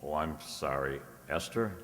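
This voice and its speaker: An actor playing a cool, shrewd, wry private detective in a radio play. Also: male voice, rumbling low, measured pace, a bit bright, roomy sound, in a large hall. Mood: wry, placating.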